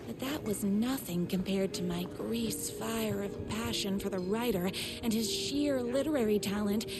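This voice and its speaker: An actress playing a perky, lovestruck small-town waitress who is full of herself, in a gushing, theatrical video game performance. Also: female voice, on the high side, lightly acted. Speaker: waitress narrator. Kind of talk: scripted game narration and dialogue.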